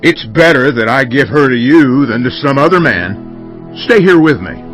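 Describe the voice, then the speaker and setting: A hearty older patriarch, acted by a man, with a mid-pitched, assured delivery. He is a shrewd uncle from a scripture audio drama, declaiming over music. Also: lively intonation, normal speed, fairly bright tone, close to an everyday voice, rough recording. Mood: agreeable. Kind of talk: dramatized audio Bible acting.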